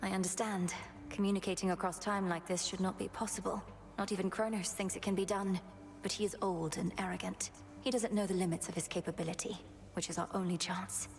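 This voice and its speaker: A woman playing an earnest, determined young underworld princess and witch in a game, speaking formally and with measured care. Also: mid-range voice, fairly even intonation, natural voice. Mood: solemn, tender. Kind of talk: scripted game acting.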